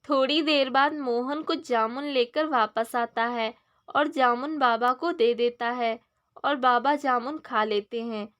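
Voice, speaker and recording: A woman with a clearly high voice reads a scripted children's tale. She is the storyteller, presenting a moral story as narration. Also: unhurried, a bit bright.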